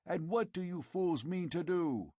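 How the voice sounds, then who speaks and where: A man's voice: high voice, expressive, unhurried, no room sound, voice pushed up, full character voice. A man voicing a blunt, exasperated barbarian warrior who roars his words. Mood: roaring. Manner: bellowing, blunt.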